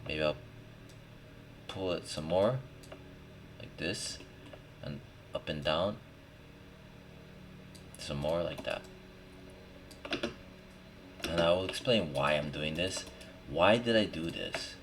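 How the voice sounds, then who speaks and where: Male tutorial instructor, extremely low voice, unhurried and informal; noisy recording with keyboard clicks. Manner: informal, thinking aloud, checking in with "right?". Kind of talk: casual screen-recorded software tutorial, talking while working. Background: background noise, typing and clicking.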